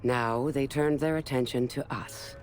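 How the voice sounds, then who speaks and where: A man performs a cold military warlord narrating a video game cutscene. He speaks slowly and deliberately, with measured menace at a middling pitch. Soft music sits underneath. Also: steady tone, lightly acted. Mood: cold, calculating. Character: cold, watchful warlord of a dark army, narrating.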